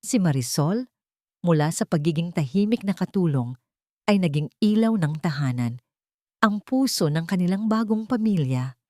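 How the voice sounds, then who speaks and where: A woman's voice: fairly low pitch, expressive, moderate pace, darkish tone, no room sound, studio quality. A woman with a Filipino accent reading a scripted romantic drama as an audiobook narrator.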